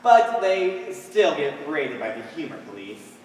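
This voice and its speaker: A teenage boy acts a theatrical, wisecracking male comedian in a school stage play. The delivery is deliberate and swings wildly in pitch, picked up from a distance with faint noise. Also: sing-song, darkish tone. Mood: wry. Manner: theatrical, wry, emphatic. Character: male wisecracking underground vaudeville comedian of a duo. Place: stage in a large hall, recorded from afar. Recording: echoey hall, distant microphone, background noise.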